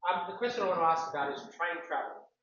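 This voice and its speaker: A man in the audience asking a question in a loud, emphatic voice. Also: fairly high pitch, fast talker, highs cut off. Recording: echoey room from far off, noisy.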